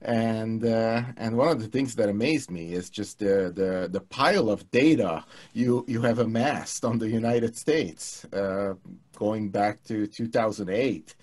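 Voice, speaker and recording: A man, co-hosting a casual academic chat on a video call, halting with many restarts. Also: fairly low pitch, a little mellow. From Hungary. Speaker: political scientist, co-host. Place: home studio room. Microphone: headset mic on video call.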